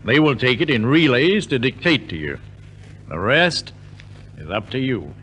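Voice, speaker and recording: A businesslike man organising a contest in vintage radio drama style. He is courteous, with a bright, crisp edge and lively intonation at an ordinary male pitch. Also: a little slow, exaggerated voice. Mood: brisk, assured. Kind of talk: 1950s radio drama acting.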